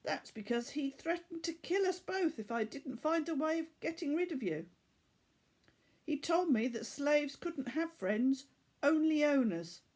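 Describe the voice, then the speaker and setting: Woman voicing earnest, high-pitched captive boy. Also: expressive, measured pace, darkish tone. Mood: urgent. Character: earnest captive boy, kind, urgent, remorseful. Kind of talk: children's audiobook character voice.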